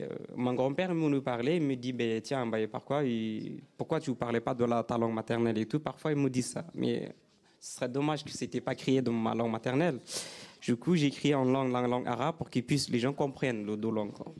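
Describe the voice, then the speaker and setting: A man from Sudan, an author whose first language is a Sudanese language, speaking in halting, non-native French on a panel. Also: medium pitch, a little mellow. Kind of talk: panel discussion.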